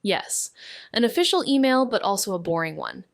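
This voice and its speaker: A woman's voice: medium pitch, very animated, normal speed, vocal fry, no echo. An actress playing a wry, guarded young woman, a former med student, in a naturalistic audio drama with dry, understated delivery. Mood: dry, practical.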